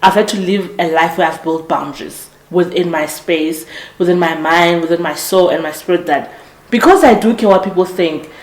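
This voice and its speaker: A woman in her late twenties talks through her thoughts in an earnest, reflective solo podcast. Her voice sits a little low for a woman, and she often stops to correct or restart a sentence. The room around her gives a noticeable echo. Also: moderate pace. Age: 20s. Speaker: podcast host. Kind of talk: casual motivational monologue.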